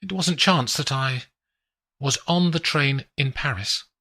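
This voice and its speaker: A man voicing a calm, composed young woman with a faint foreign lilt, reading a thriller audiobook. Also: mid-range voice, unhurried, voice pushed up. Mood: confessing. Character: calm young woman, a cool former assassin. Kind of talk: audiobook character voice.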